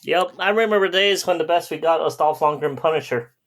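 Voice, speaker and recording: High-voiced man in casual conversation. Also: a little fast, fairly bright tone. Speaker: podcast co-host. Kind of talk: casual chat with reminiscing.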